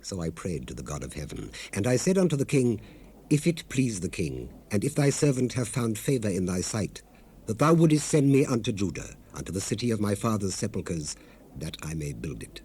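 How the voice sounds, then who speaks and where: An actor playing a devout, humble Old Testament cupbearer in a dramatized scripture recording, speaking with solemn, measured, formal fervor. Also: male voice, fairly low pitch, very animated, dark tone, slightly rough, heavily acted. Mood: anxious, respectful. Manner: solemn, fervent, measured, formal archaic delivery. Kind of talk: dramatized scripture reading, 1970s audio drama. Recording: noisy unprocessed cassette rip.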